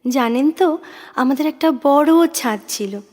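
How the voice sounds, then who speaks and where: An actress playing a young woman who is a poet's devoted admirer, wistful, earnest and gently frank, in audio drama style. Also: high-pitched, lively intonation, measured pace. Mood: fond, nostalgic. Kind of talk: Bengali audio drama acting. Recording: reverberant voice with music underneath. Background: background music.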